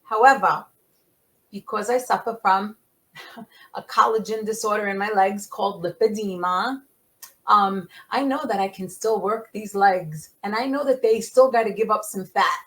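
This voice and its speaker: Animated middle-aged woman guest. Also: medium pitch, measured pace. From United States. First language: Italian dialect. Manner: animated, emphatic, laughing. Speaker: podcast guest. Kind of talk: casual conversation.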